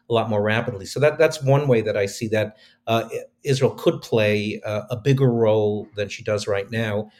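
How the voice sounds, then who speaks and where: A man's voice: mid-range voice, darkish tone. A man speaking as an interview guest, earnest and discursive as he explains his views on business and culture. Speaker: venture capitalist guest.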